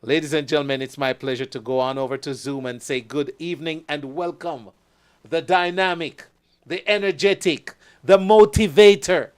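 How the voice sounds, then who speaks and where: A man hosting a live talk show, energetic and hyping up his guest and partner stations. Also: a little high, normal speed, smooth.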